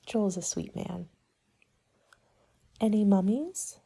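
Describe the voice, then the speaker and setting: A woman speaking softly in an ASMR roleplay as a party planning agent, polite and measured, sometimes slipping toward a whisper. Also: medium pitch, animated, creaky, slightly rough. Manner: polite, measured, businesslike consultant patter. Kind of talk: soft-spoken ASMR roleplay, partly whispered.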